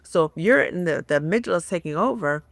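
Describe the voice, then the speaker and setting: A woman in her fifties speaks with a gravelly, fry-touched voice, close to the mic in a dry room. She explains things earnestly and sometimes acts out people's worried thoughts. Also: on the low side, expressive, quick pace, no room sound. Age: 50s. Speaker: guest psychologist. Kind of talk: casual podcast discussion.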